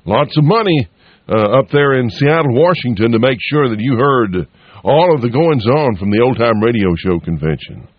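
A man's voice: mid-range voice, husky, no room sound. A man hosting a radio station, giving a folksy, homey on-air promo and asking listeners to call in and donate. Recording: dull lo-fi radio broadcast.

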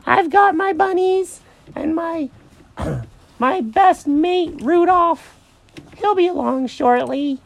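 A chirpy mother rabbit from a children's story. The voice sits far up high, squeaky and piercing, with big swoops. She sounds sugary, oblivious and breezily dismissive. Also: expressive, takes it slowly, vocal fry, heavily acted. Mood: breezy, dismissive.

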